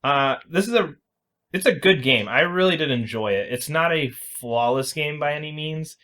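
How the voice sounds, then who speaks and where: A man's voice: fairly high pitch, animated, normal speed, sharp tone. Casual man explaining things on a podcast. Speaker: podcast host. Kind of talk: casual video game review chat.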